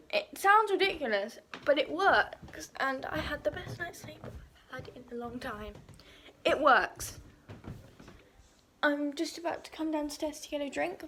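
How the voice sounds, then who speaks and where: A woman chatting casually in a vlog, her voice croaky from a sore throat, rambling and repeating herself. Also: high voice, pushed and bright, slight background noise. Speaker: vlogger.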